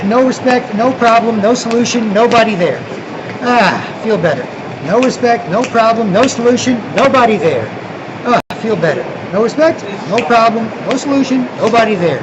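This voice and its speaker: A man's voice: very high voice, expressive, moderate pace, dull audio, noisy background. A Canadian man from Toronto vlogging outdoors, rambling, restarting often and tagging lines with "right?". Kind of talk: rambling street vlog monologue.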